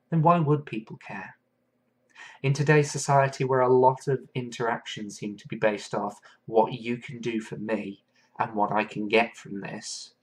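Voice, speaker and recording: A man from England hosts a solo podcast. He talks in an earnest and reflective way at a middle pitch, and he often pauses with soft fillers as he works through his thoughts. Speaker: podcast host.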